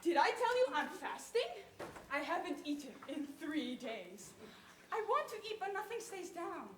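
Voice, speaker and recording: Actress as a pushy, frantic petitioner, very high lively voice, echoey room. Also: female voice, normal speed, noisy background. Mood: melodramatic. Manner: melodramatic, insistent, swings to shouting. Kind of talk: stage comedy acting.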